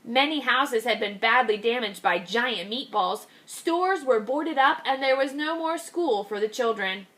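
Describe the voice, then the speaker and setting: Woman reading a storybook aloud. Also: on the high side. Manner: expressive, slows down on key phrases. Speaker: kindergarten teacher. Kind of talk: reading a picture book aloud.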